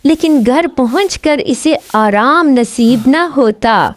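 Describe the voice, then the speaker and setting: A woman's voice: high voice, animated, dry recording. A woman narrating a scripted fairy tale as a storyteller.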